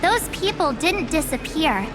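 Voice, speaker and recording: A woman's voice: sits high, big pitch swings, measured pace, low-quality recording. A woman voicing a playful young woman turned deity, theatrical and dramatic, in an anime-style video game. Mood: earnest, theatrical. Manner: theatrical, dramatic when proclaiming. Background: music.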